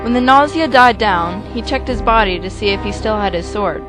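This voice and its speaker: A woman's voice: mid-range voice, normal speed, over music. A woman reading scripted, dramatic story narration for an amateur audio drama.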